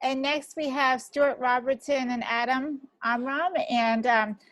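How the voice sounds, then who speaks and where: A woman's voice: high-pitched, fairly even intonation, measured pace, fairly bright tone, dry recording. A woman moderating a panel on a video call, giving polite, brief introductions. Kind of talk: hosting a panel.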